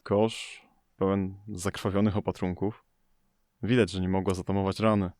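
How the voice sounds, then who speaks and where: A man playing a somber investigator who narrates an audio drama in a measured, observational way. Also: deep voice, flat delivery, a little mellow, lightly acted. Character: somber male investigator searching a storm-struck family home. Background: music behind the voice.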